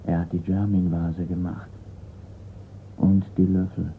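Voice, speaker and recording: Secretive informant: lowish voice, short guarded answers, band-limited radio play sound. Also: male voice, flat delivery, a little slow. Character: male informant, terse and secretive. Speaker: actor. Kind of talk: German radio crime drama acting. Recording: muffled, slightly noisy radio play recording.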